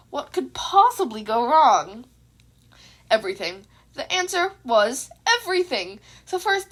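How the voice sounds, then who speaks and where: A woman's voice: high-pitched, fairly bright tone. A woman reading a scripted piece of fan fiction aloud as a narrator. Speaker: podfic narrator.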